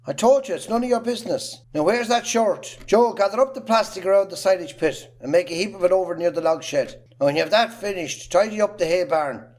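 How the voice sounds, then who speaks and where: Bullying Irish farm patriarch, played by a man, gruff and belittling, higher-pitched voice, amateur drama. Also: a little fast, hardly any pauses, darkish tone, lightly acted. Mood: brusque, bossy. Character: bullying, scornful, miserly Irish farmer father. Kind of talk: amateur stage drama acting.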